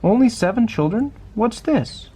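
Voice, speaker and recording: A man's voice: high voice, lively intonation, a little slow, dark tone, slightly rough, pitched above the performer's own voice, heavily acted. A man voicing a caring, tired mother in a storybook, with a coaxing, sing-song, questioning manner. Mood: curious. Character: caring but tired, worried mother of a young boy.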